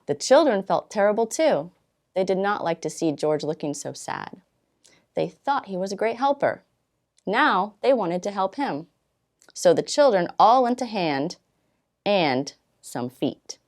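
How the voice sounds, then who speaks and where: A woman volunteer reading a children's storybook aloud, expressively, with dramatic pauses and drawn-out words. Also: mid-range voice, big pitch swings, a little mellow.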